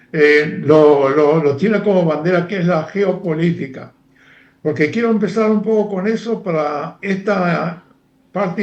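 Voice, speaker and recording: Older man, high voice, halting lecture style, echoey dull audio. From Argentina. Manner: halting, many fillers and restarts. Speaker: guest analyst, former professor. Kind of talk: lecture-like interview talk.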